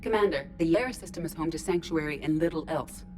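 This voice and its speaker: A woman's voice: low-pitched, fast, darkish tone, faint music behind, close to an everyday voice. A woman playing a composed ship's artificial intelligence in a science fiction game, speaking formally and factually. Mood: calm, factual.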